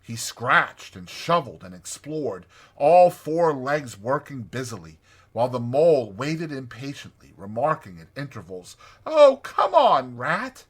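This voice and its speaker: A man narrating a storybook as a dramatized audiobook, with expressive, deliberate phrasing. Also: medium pitch, slightly rough.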